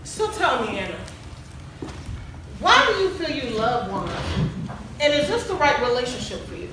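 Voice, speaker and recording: A woman playing a composed relationship counsellor in a stage play, measured, probing and professional. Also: high voice, very animated. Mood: composed, instructive. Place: large echoey indoor stage venue. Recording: very echoey, distant, background noise.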